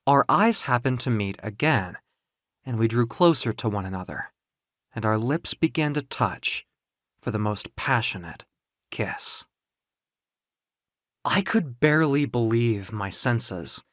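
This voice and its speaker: A man narrating first-person audio fiction in a theatrical, expressive, confiding way. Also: mid-range voice, no room sound, studio quality. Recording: music under the voice. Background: music.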